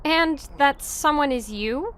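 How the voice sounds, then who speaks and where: A woman's voice: high-pitched, takes it slowly, fairly bright tone. A woman playing a naive, curious and earnest young wanderer in an audio drama. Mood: groggy, puzzled.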